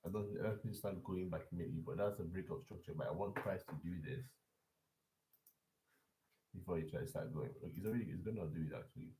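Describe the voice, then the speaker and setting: A man's voice: low voice, fast, dark tone. A man live-streaming a forex chart breakdown and talking loosely to his chat, with restarts and repeats. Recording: echoey room.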